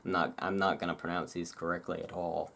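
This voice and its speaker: A person reading aloud in a relaxed way with casual, rambling asides, halting and self-deprecating about it. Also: low-pitched, gravelly, slight background noise. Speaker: reader and host. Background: thunderstorm ambience.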